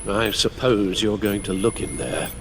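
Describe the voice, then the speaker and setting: An actor playing a courteous, wry older butler in a video game, speaking in polite, measured, formal phrases. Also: male voice, fairly low pitch. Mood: wary, wry. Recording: clearly noisy background.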